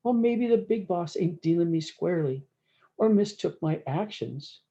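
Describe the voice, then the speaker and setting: A woman's voice: fairly low pitch, expressive, moderate pace, warm tone, muffled recording. A woman voicing a humbled, suffering old cowboy in a storybook, speaking in folksy, plaintive rhyme.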